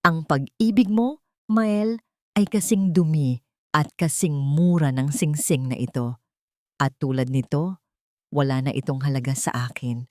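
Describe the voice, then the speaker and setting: An icy, regal wronged wife acted out by a woman narrator in an audio drama. She speaks low and formally, with cutting, emotionless control. The sound is dry. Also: a little slow, long pauses, dark tone, no echo. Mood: cold contempt.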